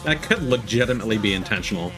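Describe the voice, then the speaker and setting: A man's voice: lowish voice, expressive, very fast, low-quality recording. A man doing casual, informal live commentary as a video game streamer. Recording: music playing behind the voice. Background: video game music.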